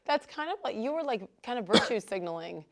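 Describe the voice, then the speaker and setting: A woman's voice: fairly high pitch, very animated, fairly quick, gravelly. Candid middle-aged woman hosting an interview. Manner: candid and emphatic, speeds up when making a point. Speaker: host. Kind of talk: casual interview chat.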